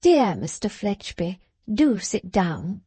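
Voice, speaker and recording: Female reader playing a scheming wife who gushes; slow, mellow, pleading lines. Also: mid-range voice, very animated, breathy edge, no room sound, heavily acted. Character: gushing, scheming society wife, adult woman. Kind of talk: audiobook character voice.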